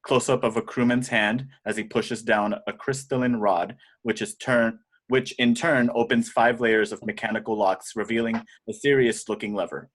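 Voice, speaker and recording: A man narrates stage directions for an amateur script read. His voice is a little low, and he reads the scene descriptions as scripted narration. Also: fairly bright tone, husky.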